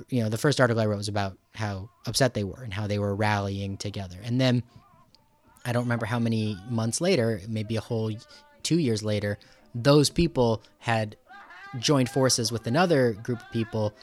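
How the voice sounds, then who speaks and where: A man's voice: mid-range voice, moderate pace, darkish tone. A man hosting a casual conversational interview, talking in a rambling, enthusiastic and self-deprecating way. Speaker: radio show host.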